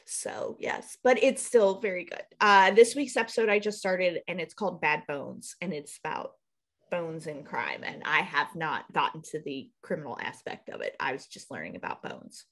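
A woman's voice: sits low, lively intonation, moderate pace, fairly bright tone. A woman from Nebraska with a Nebraskan accent, co-hosting a podcast in casual, rambling chat over a webcam from a room at home. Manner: rambling, self-deprecating, laughs at herself. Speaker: podcast co-host. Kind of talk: casual chat on a video call. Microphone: video call webcam.

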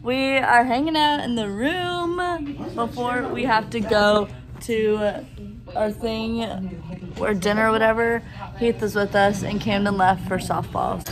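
A woman's voice: on the high side, takes it slowly, music in the background. A young woman chatting casually and informally for a vlog, in a bubbly way, into a phone at arm's length. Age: young adult. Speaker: student vlogger. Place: out and about, streets, venues and hotel. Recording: noisy phone recording with crowd chatter.

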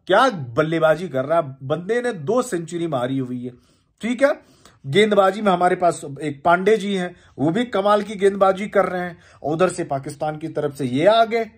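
Young man from India, casual animated reaction rant, raised pitch, close webcam sound in a room. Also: slightly rough. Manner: excited, animated, gestures with hands. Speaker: cricket commentator reacting. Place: indoor room on a leather couch.

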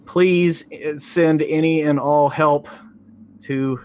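A man playing a stranded, frustrated agent sending a halting, pleading distress call in a tabletop game. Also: on the high side, fairly even intonation, darkish tone, a little hiss or hum. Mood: desperate pleading.

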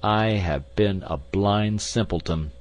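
Guy narrator doing a tough english lord explorer, clipped, forceful, lowish voice. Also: measured pace. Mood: bitter. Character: bold English lord, iron-nerved adventurer, grim and self-reproachful.

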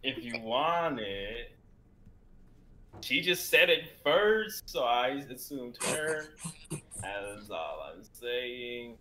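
A man's voice: medium pitch, sing-song, drawn-out words. A man in his twenties chatting casually over a noisy video call. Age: 20s. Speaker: stream cast member. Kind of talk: casual chat.

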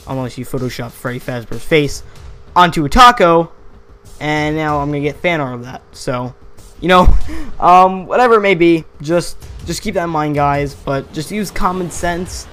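A young man talks through a gaming theory in a loose, rambling way, correcting himself and chuckling now and then. His voice sits a little high for a man. Faint music plays underneath. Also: normal speed, a bit bright. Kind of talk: casual voice-over commentary. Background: faint background music.

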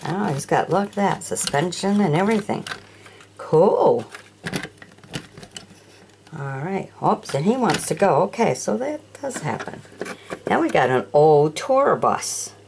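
A woman's voice: lowish voice, very animated, unhurried, creaky, long pauses, background noise. An older woman showing off toy vehicles from an auction, chatting casually and asking the viewer questions as she films them at a sunny windowsill. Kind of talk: casual show-and-tell narration.

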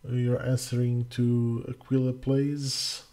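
A middle-aged man from Portugal, hosting a casual livestream Q&A as community manager, halting with many fillers and restarts. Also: medium pitch, flat delivery, crowd noise. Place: home room, indoors. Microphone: headset.

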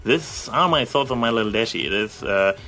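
A man's voice: mid-range voice, animated, fairly quick, bright, forceful tone. Casually rambling man, emphatic, over music. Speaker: vlogger.

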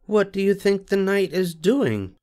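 An older man reading a storybook aloud, voicing a patient, kind grown male rabbit in a soft, soothing bedtime tone. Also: high voice, very animated, a little slow, pitched above the performer's own voice.

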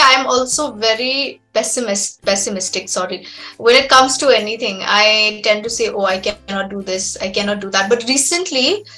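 A woman's voice: a little high, bright, forceful tone. A young woman from Shillong with an Indian accent, coaching English in an encouraging, conversational way over a headset on a video call. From Shillong, Meghalaya, India. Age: young adult. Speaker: English trainer.

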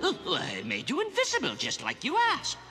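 An actor playing a cheerful, muddled little old wizard in a cartoon, jolly and bungling. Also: male voice, extremely high pitch, huge pitch swings, normal speed, full character voice. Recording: loud music behind.

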